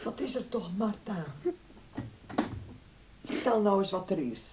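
An actress playing a practical, blunt aunt in a radio drama, creaky and husky, soothing yet prodding. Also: female voice, medium pitch, expressive, unhurried, darkish tone, a little hiss or hum, natural voice. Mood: concerned. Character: concerned, worldly-wise older aunt, practical and blunt. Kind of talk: naturalistic radio drama acting.

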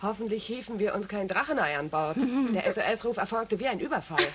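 An actress playing a sardonic, sharp-tongued space crew member in a science-fiction radio drama, given to dry, ironic retorts. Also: female voice, medium pitch, animated, brisk, natural voice. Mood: matter-of-fact. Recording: loud hiss and noise.

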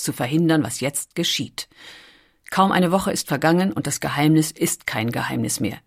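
Woman with a really deep voice telling a documentary story, measured, kinda dramatic, scripted read. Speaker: narrator.

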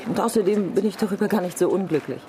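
A woman's voice: medium pitch, animated, fast talker, a crowd in the background, close to an everyday voice. An actress playing an ambitious, prickly middle-aged female politician in a radio crime drama, defensive and emphatic.

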